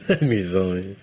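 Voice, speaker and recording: A man's voice: fairly low pitch, mellow, dull audio, heavily acted. An actor playing a suave young male suspect in an old French radio mystery, dryly polite, evasive and coolly defensive. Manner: dry, guarded politeness. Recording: faintly noisy vintage radio recording.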